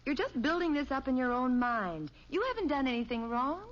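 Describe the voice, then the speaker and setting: An actress playing a calm, matter-of-fact wife and mother in an old radio comedy, soothing her husband. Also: high-pitched, brisk. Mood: reassuring. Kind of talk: 1950s radio comedy acting. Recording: dull old radio recording.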